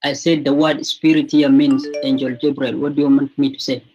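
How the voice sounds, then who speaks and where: A man's voice: medium pitch, little pitch movement, fairly quick, darkish tone, highs cut off, faint music behind. A man in a heated debate, defending and explaining his view and complaining about being cut off.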